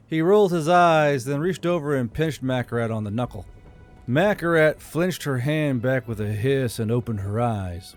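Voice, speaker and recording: Male storyteller, medium pitch, scripted fantasy narration, light music bed beneath. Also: a little mellow. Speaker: audiobook narrator. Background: faint music.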